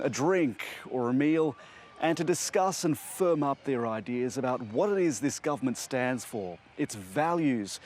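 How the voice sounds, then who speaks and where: A man with an Australian accent delivering a scripted live report as a news reporter, with emphatic phrasing. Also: medium pitch. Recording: faint venue background noise. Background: faint ambience of a community club.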